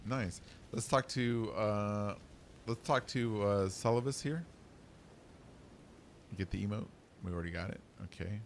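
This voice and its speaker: A middle-aged man with a fairly low voice hosts a casual game stream. He talks in a folksy, rambling, joking way, thinking out loud as he plays. There is clear noise behind his voice. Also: measured pace, long pauses. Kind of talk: casual live gaming commentary. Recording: clearly noisy background.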